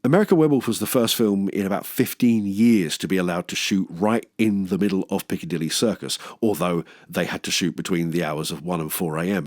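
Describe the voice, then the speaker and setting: A British man with a fairly low voice hosts a film review video essay. He reads his script with enthusiasm and wry humour, slipping in playful swearing. The recording is dry, with very little room sound. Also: darkish tone, no room sound. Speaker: host of a film review channel.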